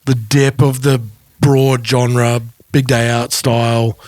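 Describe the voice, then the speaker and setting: A man with a medium-pitched voice talks in a very dry recording. He is a podcast co-host with an enthusiastic, slightly nerdy, conversational manner. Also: level tone, slow, darkish tone, no echo. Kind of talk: casual chat.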